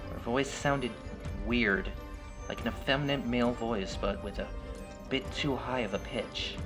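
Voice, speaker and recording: Low-voiced man narrating horror over music. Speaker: storyteller. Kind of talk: scripted first-person horror narration.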